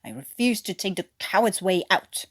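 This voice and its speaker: A woman's voice: medium pitch, normal speed, fairly bright tone, lightly acted. A woman voicing a bitter, proud male flight sergeant with an attempted English accent, scornful and seething, in an audio story. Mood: stubborn.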